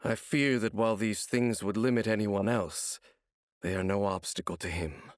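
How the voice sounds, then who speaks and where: A man playing a grave, secretive, world-weary wanderer in a video game, with a gravelly, husky voice and solemn, cryptic manner. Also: on the low side, fairly even intonation, normal speed, dry recording, natural voice. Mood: guarded, resolute.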